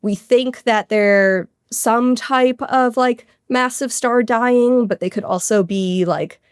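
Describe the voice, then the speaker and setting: A woman's voice: a little high, slow pace, clean tone, no room sound, clean studio sound. A woman speaking as an astronomer guest in an interview, explaining technical things in a keen, informal, conversational way. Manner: keen, informal, technical with frequent hedging asides.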